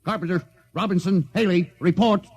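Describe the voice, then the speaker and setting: An actor playing a desperate, commanding spaceship captain in an urgent, theatrical 1950s radio drama style. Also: male voice, sits high, moderate pace, creaky, darkish tone, husky, heavily acted. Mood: alarmed, commanding. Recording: noisy vintage radio recording.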